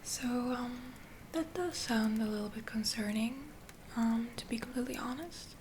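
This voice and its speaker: A woman playing a gentle, reassuring witch healer in a soft whispered ASMR roleplay, soothing and a little hesitant. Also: on the high side, moderate pace, slight background noise, lightly acted. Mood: concerned. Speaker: ASMR performer.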